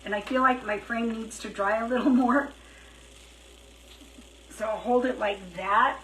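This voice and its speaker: A fairly high-voiced woman talks her way through a craft project on a livestream, thinking out loud in a loose, chatty way, with a dry, slightly worn throat. She sits a little back from the mic in a roomy-sounding space, with faint hiss behind her. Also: measured pace, far from the mic. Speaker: craft live-streamer. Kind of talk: casual live craft stream chat.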